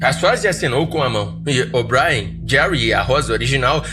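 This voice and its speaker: A Brazilian man narrates horror fiction in the first person as a podcast storyteller. His pitch sits in the upper-middle male range and his delivery is dry and measured, with clear music underneath. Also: animated.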